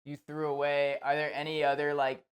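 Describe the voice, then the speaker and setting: A man's voice: fairly high pitch, completely flat delivery, moderate pace. A man hosting a casual interview, speaking in an enthusiastic, appreciative and conversational way. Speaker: interviewer, show host.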